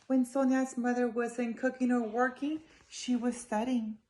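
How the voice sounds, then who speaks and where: A woman's voice: a little high, measured pace, a little hiss or hum. Woman reading expressively to young children. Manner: expressive, emphatic, addresses young listeners directly. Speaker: judge reading a children's story. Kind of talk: storytime read-aloud to young children.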